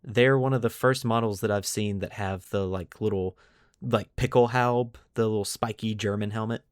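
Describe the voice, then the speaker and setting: A man's voice: on the low side, steady tone, moderate pace, clear, resonant tone, no echo, clean studio sound. A man hosting a podcast, mixing scripted lore narration with casual commentary and putting dramatic emphasis on key words. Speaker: podcast host.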